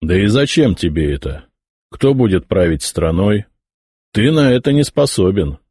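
A man voicing an old prince-bishop who speaks calmly and carefully. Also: lowish voice, slightly rough. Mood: measured. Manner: calm, measured. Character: old male prince-bishop, calm and guarded. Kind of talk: audiobook character voicing.

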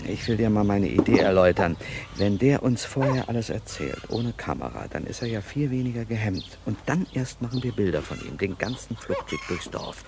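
An actor playing a bossy, enthusiastic, middle-aged TV director who explains and commands in a naturalistic radio play. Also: male voice, lowish voice, expressive, fairly quick, background noise. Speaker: TV film director character. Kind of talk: naturalistic radio drama acting.

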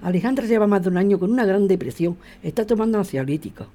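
A woman playing a worried, worn-down working grandmother in radio drama dialogue, with a husky, dark voice. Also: medium pitch, expressive, fast, slight background noise, natural voice. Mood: weary, worried. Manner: weary, anxious.

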